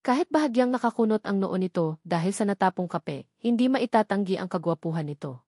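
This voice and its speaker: A woman's voice: mid-range voice, animated, fairly quick, warm tone, husky, dry recording, clean studio sound. A woman with a Filipino accent reading a scripted romantic drama as its storyteller. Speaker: narrator.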